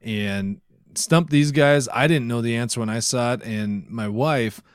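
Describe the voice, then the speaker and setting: A man's voice: medium pitch, measured pace, clear, resonant tone, no room sound, clean studio sound. A man co-hosting a podcast, chatting casually with the others. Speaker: podcast co-host.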